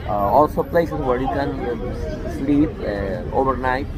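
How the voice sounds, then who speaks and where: A man from Lima, Peru, with a Peruvian Spanish accent, speaking haltingly as a guest in a casual interview. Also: mid-range voice. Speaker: interview guest, language teacher and tour guide. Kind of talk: casual interview, self-introduction. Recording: very noisy busy street with crowd and traffic.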